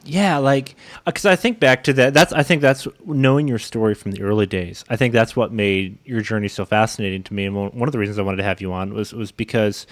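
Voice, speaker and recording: Low-voiced man hosting a casual interview. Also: fairly even intonation, fairly quick, few pauses, no echo. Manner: rambling, hesitant phrasing of questions. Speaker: interview host.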